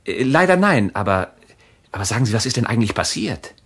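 A man's voice: mid-range voice, very animated, a little fast, a bit bright. A man playing a nervous, cautious secret lover in a German radio thriller, reasoning urgently and hesitantly. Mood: hesitant, polite.

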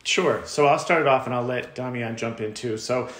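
A man's voice: medium pitch, moderate pace, a bit bright, reverberant. A man in his forties explaining things conversationally as a panel guest on a video call with earbuds.

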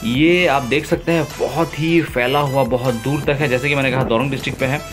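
A man narrates a casual travel vlog in a relaxed, enthusiastic way and pauses often on fillers. He speaks at a middle pitch into a handheld phone outdoors, with faint music under him. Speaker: travel vlogger. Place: outdoors on a river boat and island.

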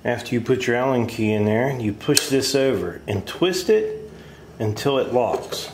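A middle-aged American man talks through a casual hands-on demonstration. His manner is plainspoken and folksy, with a mid-pitched voice. It is recorded in a roomy workshop shed with echo and noticeable noise behind him. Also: lively intonation, unhurried. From United States. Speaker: homestead vlogger reviewing a tool. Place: open wooden workshop shed. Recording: roomy echo, clear background noise, clatter.

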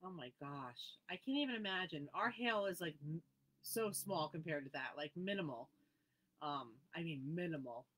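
A woman's voice: low voice, animated, moderate pace, fairly bright tone, rough recording. A woman hosting a live needlework sale, reading out item numbers and prices and chatting with viewers by name. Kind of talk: live sales presentation, casual chat.

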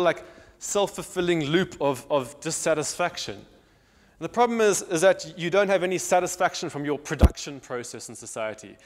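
A man in his thirties with a South African accent, giving a public lecture, thinking aloud with many restarts and fillers. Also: a little high. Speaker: guest speaker. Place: large hall with marble wall. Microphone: lapel. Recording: long hall reverb.

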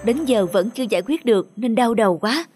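A woman's voice: a little high, lively intonation, brisk, over music, natural voice. Woman voicing a troubled, subdued cartoon husband. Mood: worried. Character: troubled, downcast adult husband. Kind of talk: cartoon drama acting.

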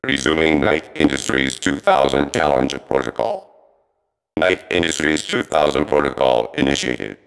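An actor playing a formal, helpful robot in a video game, speaking in clipped mechanical announcements with robotic processing. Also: male voice, a bass voice, creaky, clean tone, big-room sound, exaggerated voice. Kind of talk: scripted video game voice lines.

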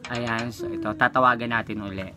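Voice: mid-range voice, a little fast, over music. A Filipino vlogger with a playful, giggly way of talking, chatting casually while making prank phone calls.

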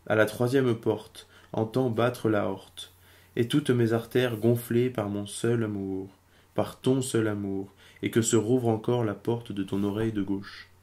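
Guy reading poems out loud, kinda flat and solemn, mellow dark voice, measured with pauses. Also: lowish voice. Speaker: amateur poetry reader. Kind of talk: solemn poetry recitation.

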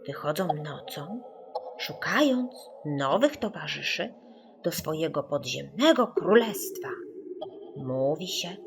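A woman's voice: deep voice, big pitch swings, creaky, noisy background, full character voice. Woman as a whispering girl telling spooky tales. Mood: eerie. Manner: hushed, near-whisper, slow, ominous. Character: young girl telling a spooky legend to friends.

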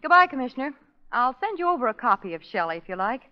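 Detective's spirited lady companion, highish, very expressive, theatrical; old-time radio drama. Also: quick pace. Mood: teasing. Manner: lively, theatrical. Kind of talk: 1930s radio acting. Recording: dull lo-fi 1930s radio recording.

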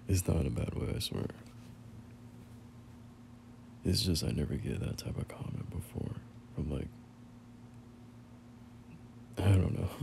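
A young man playing a teasing, bashful male friend in an intimate, casual romance audio roleplay, soft-spoken and halting. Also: low voice, creaky. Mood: bashful, flattered. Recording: noticeable background noise.

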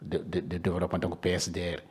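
Deep, husky Malian man. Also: flat delivery, fast talker, darkish tone. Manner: hesitant, repeats words as he explains. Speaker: regional council official, panel guest. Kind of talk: panel discussion, explaining in Bambara mixed with French. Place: indoor panel room. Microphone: handheld microphone with foam cover.

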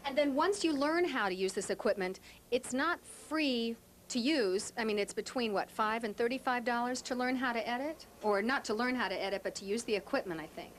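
A woman's voice: a little high, a little fast. A woman from Texas, a television reporter doing a chatty, informal live interview on location. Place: indoors at a cable TV studio and edit room. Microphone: handheld stick microphone. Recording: noisy live TV location sound.

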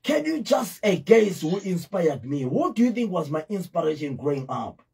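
A man's voice: sits high, sing-song, moderate pace, vocal fry, darkish tone, breathy edge. A middle-aged man hosting a radio interview from a small studio, teasing his guest and repeating words for emphasis. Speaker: radio show host. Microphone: studio mic with headphones.

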